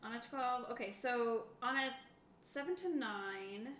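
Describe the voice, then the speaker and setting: A woman's voice: fairly high pitch, animated, a little slow, a bit bright. Woman player in an echoey room. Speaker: player in a tabletop roleplaying game. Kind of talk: casual game chat, describing moves and reading rules. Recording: echoey room, faint background noise.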